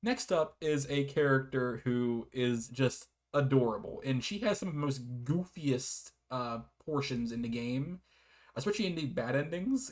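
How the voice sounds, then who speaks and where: Guy doing a casual game review, mid pitched voice, keeps repeating and correcting himself, chuckly. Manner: hesitant, self-correcting, often repeats and backtracks; chuckles often while talking. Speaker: video game reviewer and host. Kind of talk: casual rambling review commentary.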